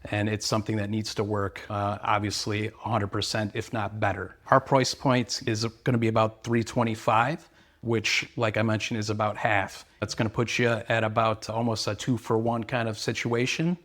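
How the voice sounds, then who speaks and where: A man's voice: on the low side, little pitch movement, normal speed, a bit bright. A man, an earnest, sales-minded company representative explaining products and service in interview answers.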